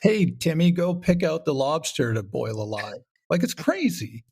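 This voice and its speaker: A man's voice: on the high side, big pitch swings, moderate pace, darkish tone, husky. A man speaking casually as an interview guest, explaining his writing and acting out imagined reactions as he goes. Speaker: guest, comedy writer and show creator.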